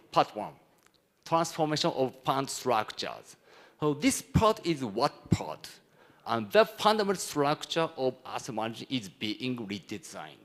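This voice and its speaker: A Japanese man presents at a conference in a medium-pitched voice. His accent is Japanese, and his English is halting, with phrases broken and rebuilt as he explains his points. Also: fairly bright tone. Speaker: conference speaker, hedge fund executive.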